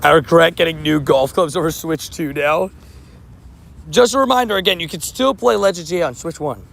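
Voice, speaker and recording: A man live-streaming outdoors, chatting casually with viewers and answering their chat messages, with a slightly hoarse voice. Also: on the high side, brisk, fairly bright tone. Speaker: livestream host. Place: outdoors by a street with traffic.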